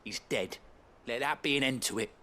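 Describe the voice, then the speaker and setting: A man's voice: mid-range voice, moderate pace, pushed and bright, a little hiss or hum, natural voice. An actor playing a coarse, peevish, bigoted townsman in a video game, with a blustering British delivery. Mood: shaken. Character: foul-mouthed, bigoted townsman, proud and peevish.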